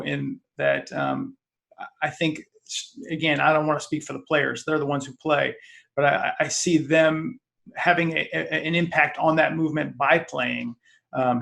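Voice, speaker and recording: A man speaking as a guest in a casual sports discussion, in a measured, hesitant way. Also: a little high, vocal fry, a bit bright. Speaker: fan site founder guest.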